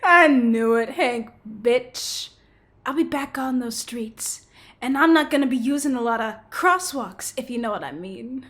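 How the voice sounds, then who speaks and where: A defiant comic villain, played by a woman, mocks and taunts in a fairly high voice. The lines are stretched out slowly, in the manner of a cheeky audio play crook. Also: expressive, a bit bright. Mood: taunting. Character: defiant, mocking serial jaywalker villain who cackles and taunts. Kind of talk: comedic audio drama acting.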